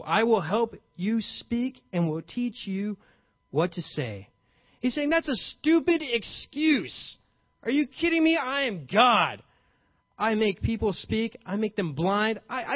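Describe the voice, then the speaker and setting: A young man preaching with a high, bright-edged voice, pushing into sudden bursts of emphasis and playful acted-out lines. The recording is dry and a little dull, as if captured without much room sound on modest gear. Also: no echo. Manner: animated, acts out paraphrased dialogue with playful emphasis. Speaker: pastor. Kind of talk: sermon.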